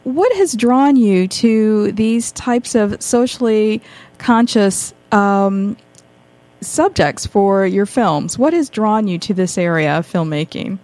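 A woman's voice: mid-range voice, animated, slow, darkish tone. A middle-aged woman hosting a radio show, introducing it and interviewing a guest.

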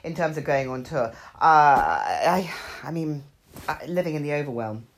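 A woman's voice: sits low, expressive, moderate pace. A snuffly woman with hay fever chatting casually with a friend, teasing her with dry wit. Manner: dry, teasing wit, mock-serious asides. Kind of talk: casual chat between friends.

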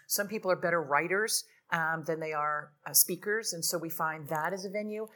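A woman's voice: sits low, normal speed, bright, forceful tone. A woman marketing executive on a live panel, speaking forthrightly with what she calls a strong voice. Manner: forthright, self-described strong voice. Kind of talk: live podcast panel talk.

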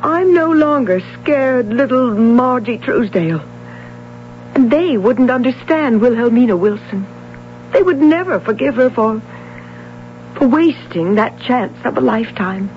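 Young runaway woman, emotional, hesitant, high-pitched, 1970s radio drama, dull hissy recording with hum. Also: animated, measured pace. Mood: anguished, resolute. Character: young runaway woman swimmer, weary, defiant under family pressure.

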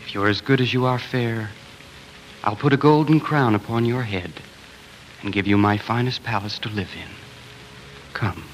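An actor playing a gallant, tender young king in a 1940s radio fairy tale, courtly and gently coaxing. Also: on the low side, normal speed, long pauses, a little hiss or hum, natural voice, poor audio. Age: young adult as played. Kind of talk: 1940s radio drama acting. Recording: vintage 1940s radio, faint music behind.

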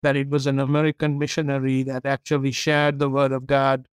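A middle-aged man from Sri Lanka, a company CEO and podcast guest, telling his story in a steady, earnest way. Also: medium pitch, brisk, clear, resonant tone, dry recording, clean studio sound. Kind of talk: interview storytelling.

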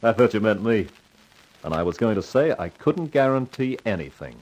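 An actor playing a cool, wisecracking hardboiled man in 1950s radio drama style, with a wry, unhurried delivery. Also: on the low side, very animated, darkish tone, dull audio. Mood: flirtatious, teasing. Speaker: lead actor and narrator in a crime radio drama. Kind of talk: 1950s radio acting, hardboiled first-person narration. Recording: noisy vintage 1950s radio recording.

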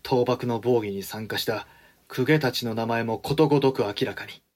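An actor playing a cautious, deferential samurai-era regent in a period audio drama, speaking formally and respectfully. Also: male voice, mid-range voice, moderate pace, a little mellow, lightly acted. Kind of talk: period radio drama acting in archaic speech.

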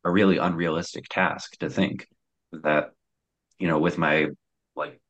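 Very deep-voiced young man, hesitant. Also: steady tone, a little slow, a bit bright. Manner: hesitant, many fillers and restarts. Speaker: guest telling his story. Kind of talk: reflective personal storytelling.

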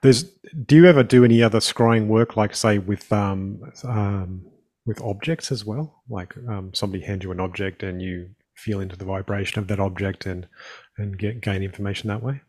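A man's voice: on the low side, fairly even intonation, moderate pace, darkish tone. A man interviewer speaking in a casual, reflective way, with many hesitations and restarts.

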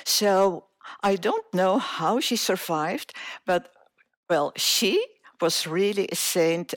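A woman in her eighties, born in Indonesia, telling her life story as an interview guest, slowly and with many hesitations. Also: lowish voice, no echo, studio quality. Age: 80s. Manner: slow, hesitant, many filler sounds.

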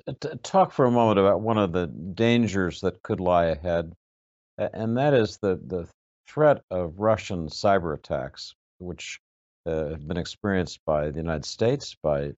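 A man moderating an interview, putting formal questions in a measured, deliberate and somewhat hesitant way. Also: sits low, expressive, darkish tone. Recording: dull, lo-fi audio.

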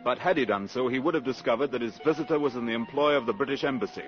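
An actor narrating a wartime spy story in measured, scripted 1950s radio style. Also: male voice, medium pitch, lightly acted. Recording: muffled old radio recording, music behind.

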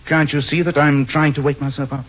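An actor playing a suave, world-weary gentleman sleuth with a husky voice and a dry, sardonic delivery in an old radio mystery. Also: a little high, little pitch movement, a little fast, natural voice. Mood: bewildered, wry. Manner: dry, hesitant, wry delivery. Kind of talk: 1940s-50s radio detective acting. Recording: muffled old-time radio recording.

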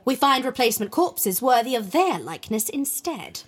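A haughty, mischievous queen in a comic audio drama. Her voice is high and slightly husky, and it swoops widely as she flirts and schemes with theatrical vanity. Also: sing-song, normal speed.

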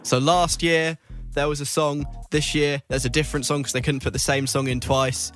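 A man's voice: a little high, flat delivery, moderate pace, fairly bright tone, faint music behind. A man presenting and interviewing on a radio show in a chatty, informal, casual way.

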